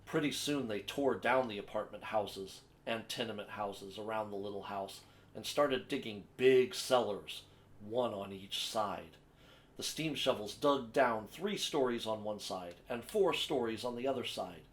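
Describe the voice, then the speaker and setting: A man's voice: lowish voice, measured pace. Man reading a children's storybook aloud. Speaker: storyteller, host of a story time series. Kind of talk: read-aloud children's picture book narration.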